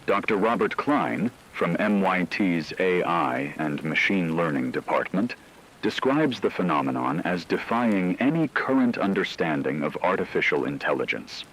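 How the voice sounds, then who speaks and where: A man playing a grave radio news anchor in a scripted drama, speaking in a measured, formal way over a noisy background. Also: low-pitched, big pitch swings, fairly bright tone, full character voice. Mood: grave, urgent. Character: grave male radio news anchor reporting a global AI crisis.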